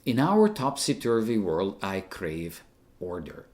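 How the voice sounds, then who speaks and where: A man's voice: low voice, big pitch swings, takes it slowly, darkish tone. An older man from Hungary, a retired teacher giving an emphatic, opinionated scripted narration.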